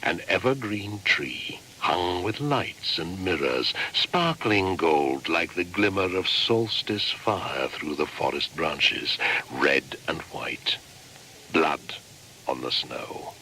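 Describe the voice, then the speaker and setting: An actor playing an ancient, solemn and menacing shaman spirit in a radio play, chanting his words and dropping into hushed whispers. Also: low voice, lively intonation, a little hiss or hum, exaggerated voice, rough recording. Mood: solemn, beckoning. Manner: slow, incantatory, breaking into hushed whispers. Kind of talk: dramatic radio play monologue.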